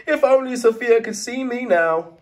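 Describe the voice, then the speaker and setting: A man voicing a small, earnest, excitable lost toothbrush with a squeaky voice in a children's storybook reading. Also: normal speed, far from the mic. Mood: elated. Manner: excitable, pleading. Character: small eager yellow toothbrush, earnest lost boyish adventurer. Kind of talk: children's storybook read-aloud.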